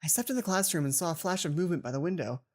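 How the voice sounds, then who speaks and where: A woman reading a visual novel aloud for a let's play, expressive, with casual asides of her own. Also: on the low side, racing, slight background noise. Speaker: let's player.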